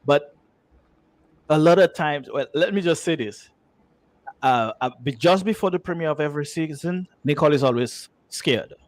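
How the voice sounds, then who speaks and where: A man's voice: fairly high pitch, moderate pace. A man speaking as a writer and guest in a conversational interview, anecdotal and emphatic, often repeating himself.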